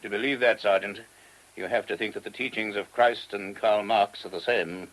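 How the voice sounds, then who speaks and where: An actor playing a formal, gently didactic abbot in a radio play. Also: male voice, lowish voice, steady tone, low-quality recording. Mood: gently instructive. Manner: measured, formal. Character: abbot of a monastery, measured, dignified, gently didactic.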